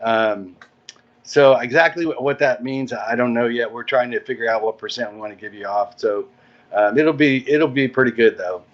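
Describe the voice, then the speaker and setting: A man with a medium-pitched voice talks casually as a guest, laying out plans like an organizer. The audio is dull and lo-fi. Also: normal speed. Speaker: interview guest, event organizer. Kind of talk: casual chat explaining event plans.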